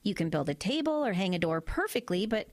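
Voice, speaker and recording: A woman's voice: medium pitch, very animated, fairly quick, darkish tone, no room sound, natural voice. A woman playing a pastor's wife in an audio drama, candid and affectionate, with a dryly teasing way of talking. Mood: fond, teasing.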